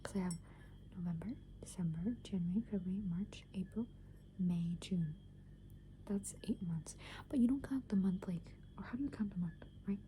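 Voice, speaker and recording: Woman, 20s, ASMR creator. Hushed whispered rambling, casual and meandering, with clear background noise. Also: lowish voice, normal speed. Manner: casual, meandering, thinking aloud. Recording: clearly noisy background hiss and ambience.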